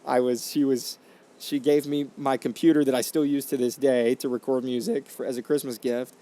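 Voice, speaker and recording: Brief: man, 20s, podcast host, earnest and reflective, mid-pitched voice, talks through restarts, condenser mic. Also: little pitch movement, moderate pace, dark tone. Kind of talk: casual storytelling monologue. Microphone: condenser microphone.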